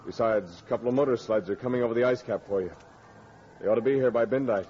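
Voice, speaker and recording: An actor playing a brisk, dependable Coast Guard lieutenant in a businesslike 1940s radio style. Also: male voice, medium pitch, warm tone, natural voice. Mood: brisk, urgent. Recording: dull, noisy old radio recording.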